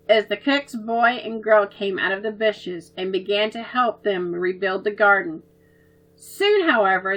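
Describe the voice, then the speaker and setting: A woman reading a children's story aloud in a gentle, expressive way. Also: medium pitch, low-quality recording.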